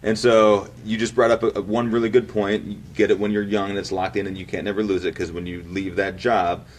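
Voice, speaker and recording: A man's voice: lowish voice, flat delivery, brisk, recorded from a distance. A man in his thirties speaking as an interview guest, explaining things in a casual, informal way like an insurance agent. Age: 30s.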